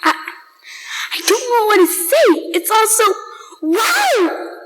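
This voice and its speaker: A woman acting as an excitable, boastful young urchin boy in a radio drama, with folksy, slangy speech. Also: extremely high pitch, slow pace, heavily acted. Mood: thrilled, amazed. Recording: roomy hall with long reverb.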